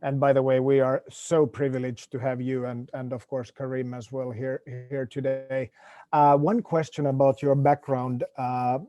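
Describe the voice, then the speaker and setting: A man's voice: medium pitch, little pitch movement, normal speed, dark tone, lo-fi sound. A man moderating a panel on a video call, friendly, often repeating words as he goes.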